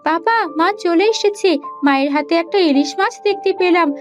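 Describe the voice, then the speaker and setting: A woman's voice: very high voice, brisk, lo-fi sound, soft background music. A woman voicing a cheerful, helpful little village daughter in a fairy tale cartoon. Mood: excited.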